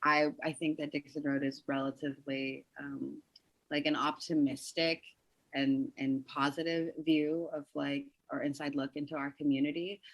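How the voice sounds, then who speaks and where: A woman playwright and performer as a podcast guest, talking quickly and chattily with lots of "like". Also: low-pitched, no room sound, rough recording. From Toronto, Canada. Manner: quick, chatty, heavy use of "like". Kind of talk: casual podcast conversation.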